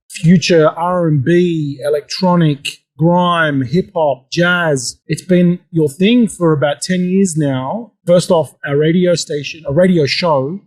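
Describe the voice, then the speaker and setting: A man hosting an interview podcast, asking questions in a halting way with frequent restarts. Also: fairly high pitch. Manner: halting, many restarts and repeated words. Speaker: podcast host, broadcaster.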